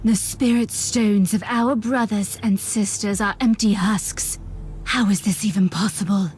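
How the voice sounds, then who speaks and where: An actress playing a grieving alien elf warrior in a video game, speaking in a solemn, formal way. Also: female voice, mid-range voice, steady tone, a little slow, darkish tone, faint music behind, natural voice. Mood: dismayed. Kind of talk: video game cutscene acting.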